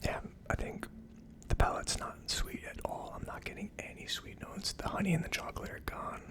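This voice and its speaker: An ASMR host speaks entirely in a hushed whisper, with almost no voice behind the words. The delivery is a casual, conversational tasting review. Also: moderate pace. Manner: hushed, unvoiced whispering throughout.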